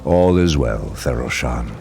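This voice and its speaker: A man's voice: a bass voice, expressive, measured pace, darkish tone, soft background music. A man playing a stern, ancient night elf druid leader in a fantasy video game, with a grave, commanding, formal delivery. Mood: solemn.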